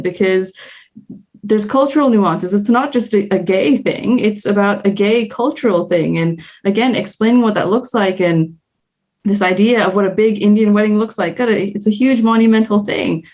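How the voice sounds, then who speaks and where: Canadian-accent woman on a panel, earnest, thinking out loud, middle-pitched voice. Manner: earnest and reflective, thinking aloud. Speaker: panel guest. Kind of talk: candid panel discussion.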